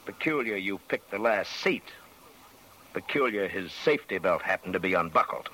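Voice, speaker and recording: An actor playing a measured, matter-of-fact police sergeant, suspicious and unmoved, in an old-time radio drama. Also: male voice, low-pitched, expressive, pushed and bright, heavily acted, poor audio. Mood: dry, suspicious. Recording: vintage radio drama recording.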